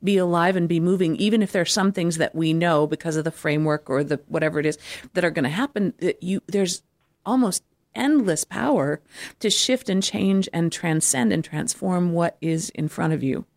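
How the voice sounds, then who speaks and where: A woman's voice: lowish voice, normal speed, a little mellow, no echo, clean studio sound. A woman hosting a radio interview show, speaking in a conversational style.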